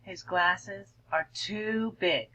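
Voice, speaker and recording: A woman teacher reading a picture book aloud to children, expressively, stretching words and dropping into hushed explanatory asides. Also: medium pitch, drawn-out words, slight background noise. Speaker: elementary school teacher.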